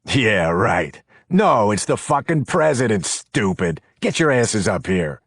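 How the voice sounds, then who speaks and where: A man's voice: lowish voice, very animated, takes it slowly, a bit bright, husky, no room sound, studio quality, full character voice. A man playing a brash, cocky gang leader. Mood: scornful. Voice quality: brash, mocking, profane. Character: crude scornful male gang leader, cocky.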